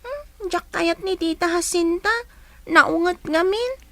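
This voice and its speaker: A woman's voice: very high-pitched, takes it slowly, heavily acted. A woman voicing a plaintive, hungry young orphan girl in an audio drama. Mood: complaining. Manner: plaintive, childlike. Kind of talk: audio drama acting in Ilocano.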